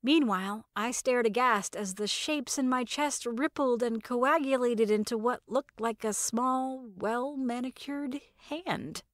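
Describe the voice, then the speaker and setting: A woman's voice: on the high side, a little slow, few pauses, no room sound, clean studio sound. A woman reading scripted first-person fiction as a narrator.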